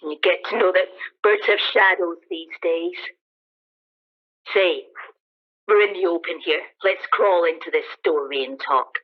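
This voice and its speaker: A woman's voice: lowish voice, a little slow. A woman acting a cynical, defiant soldier survivor in a radio play, speaking in an emphatic, colloquial way. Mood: grim, resigned. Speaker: amateur actor in a radio play. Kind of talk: dramatised radio play dialogue. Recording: thin phone-like band-limited sound.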